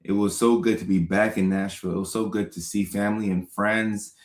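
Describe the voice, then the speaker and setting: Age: 30s. Sex: man. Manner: upbeat comedian greeting viewers, low voice, echoey room. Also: steady tone, fairly quick. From Ghana. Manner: upbeat, repeats thanks and shout-outs. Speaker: comedian and show host. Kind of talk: casual livestream chat reading out viewer comments. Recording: roomy echo.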